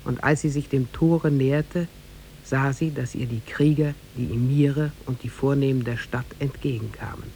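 Measured male storyteller, middle pitch. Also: steady tone, mellow, slight background noise. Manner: measured, formal, literary diction. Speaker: narrator. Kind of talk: scripted storytelling narration, 1950s German radio drama.

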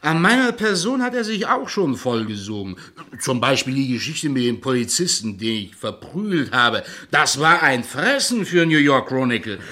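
An actor playing a brash, boastful carouser, exuberant and cheeky, in a German radio play. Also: male voice, mid-range voice, big pitch swings, normal speed, hardly any pauses, pushed and bright. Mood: scornful, boastful. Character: brash, boastful male carouser, flamboyant and pugnacious.

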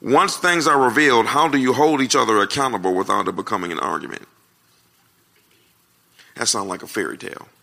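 An American man preaching and answering questions in a naturally loud voice, with a folksy, emphatic way of talking that repeats phrases for effect. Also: medium pitch, a little fast, creaky, long pauses. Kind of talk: conversational sermon with question and answer.

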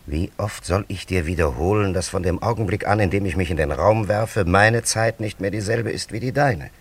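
An actor playing a dignified, pleasure-loving time traveller from an ancient land in a radio drama, with a gravelly, husky voice and lofty, formal diction. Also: male voice, low-pitched, moderate pace. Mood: lofty, self-satisfied. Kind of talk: German radio drama acting.

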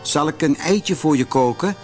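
A man's voice: a little high, normal speed, a little mellow, soft background music, pitched above the performer's own voice, natural voice. A man voicing an earnest, caring little boy in a storybook, concerned and eager to help. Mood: worried. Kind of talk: storybook character voice.

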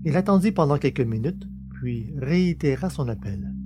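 A man's voice: mid-range voice, expressive, moderate pace. A man reading a science-fiction novel as a scripted, dramatic audiobook narration. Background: music.

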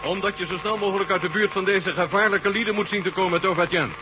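An actor playing a commanding Soviet marshal in a science-fiction radio play, giving curt, authoritative orders. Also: male voice, high voice, fairly even intonation, fast talker, over music, close to an everyday voice. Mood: urgent, commanding. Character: commanding Soviet marshal directing space operations. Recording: noisy old radio drama recording.

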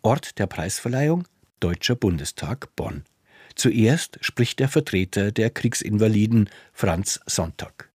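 A man with a fairly low voice reads scripted radio narration. He is sober and factual, and the sound is close and dry, free of room echo. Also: lively intonation, unhurried, darkish tone, slightly rough. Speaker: radio announcer.

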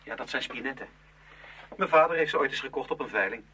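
An actor playing an anxious, fussy young man in a radio drama, hurried and worried. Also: medium pitch, animated, pushed and bright, distant mic, slight background noise. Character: anxious, fussy young son guarding his father's things.

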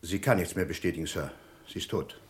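Actor as low-voiced, dogged police inspector. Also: male voice, a little fast, natural voice. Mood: grim, sardonic. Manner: probing, dry, clipped and formal. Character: dogged, skeptical British police inspector, dryly polite. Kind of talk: German radio crime play acting of the 1950s-60s.

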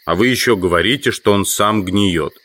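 An actor plays a proud, educated city scientist in an audio drama. His voice is deep and low. He reasons pedantically, like a skeptic who knows his subject. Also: moderate pace, fairly bright tone, no echo, natural voice. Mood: scornful, lecturing. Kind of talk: Russian audio drama acting.

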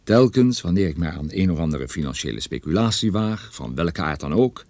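Low-voiced, wry detective narrator. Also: male voice, darkish tone, no room sound, exaggerated voice. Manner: wry, conversational storytelling. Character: wry, self-deprecating male private detective telling his story. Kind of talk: scripted radio drama narration.

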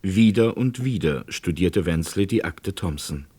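A man narrating a scripted true-crime radio drama in a measured, matter-of-fact way. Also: low voice, animated, mellow, breathy edge, heavily acted.

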